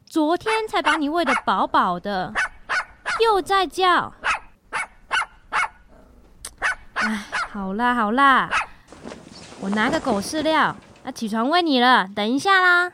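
A high-pitched, animated Taiwanese mom. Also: female voice, heavy background noise. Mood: grumbling, sleepy. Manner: expressive, brisk, fretting. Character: busy, caring mother and daughter-in-law. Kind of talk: radio drama acting.

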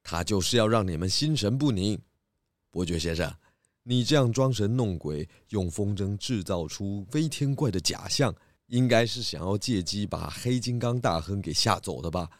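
An actor playing a shrewd pig detective who explains and admonishes in a children's audio drama. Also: male voice, fairly low pitch, animated, normal speed, dry recording, studio quality. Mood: explaining. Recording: music behind the voice.

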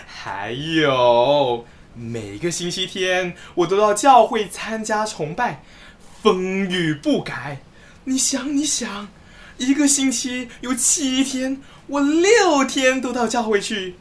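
A woman plays an eager, naive churchgoer who loves to show off. The female voice stretches words slowly and swoops up and down in singsong. The delivery is theatrical radio drama. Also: mid-range voice, animated. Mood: boastful, eager. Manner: showy, drawn-out, singsong counting off. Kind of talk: scripted Mandarin radio drama acting.

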